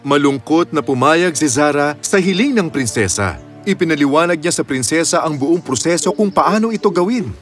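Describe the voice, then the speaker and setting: A man's voice: fairly high pitch, lively intonation, moderate pace, soft background music. A man narrating a scripted children's fairy tale with a dramatic storytelling delivery.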